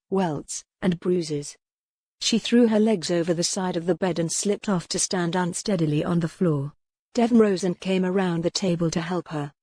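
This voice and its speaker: A woman's voice: lowish voice, darkish tone, breathy edge, no echo, clean studio sound. A woman reading a historical romance novel as scripted audiobook narration.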